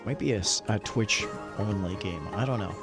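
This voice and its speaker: A man's voice: on the low side, normal speed, loud music behind the voice. A man hosting a casual gaming livestream, chatty and upbeat, often muttering to himself as he sets things up. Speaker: livestreamer and host. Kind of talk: casual gaming livestream chat while setting up.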